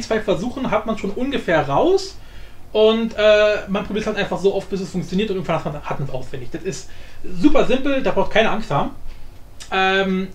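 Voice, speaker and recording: A man hosting a casual, unscripted software tutorial, thinking aloud informally and repeating himself. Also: high-pitched. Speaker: tutorial host. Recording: roomy echo with faint background noise.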